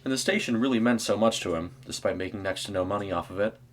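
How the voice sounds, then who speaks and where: Low-pitched man narrating a story. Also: fast talker, slight background noise. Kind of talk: scripted horror story narration.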